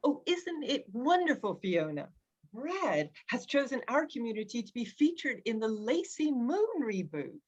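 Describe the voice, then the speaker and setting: Snobbish, pushy female neighbor, played by an actress, syrupy with sweeping pitch; slightly muffled recording. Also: sing-song, measured pace, a little mellow. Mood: gushing. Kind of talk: drama script table read. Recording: dull lo-fi microphone sound.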